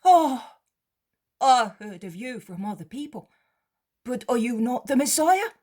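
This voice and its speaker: A man's voice: high voice, animated, a little slow, fairly bright tone, voice pushed up, exaggerated voice. A woman voicing a poor, humbled male beggar who pleads and repents. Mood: pleading. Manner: earnest, pleading.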